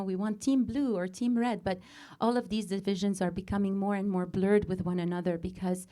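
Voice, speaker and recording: A woman in her forties from Lebanon, a native Arabic speaker, hosting a show and opening it with an earnest, deliberate monologue full of pauses and restarts. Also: medium pitch, warm tone. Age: 40s. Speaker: host. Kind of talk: opening monologue to a live audience.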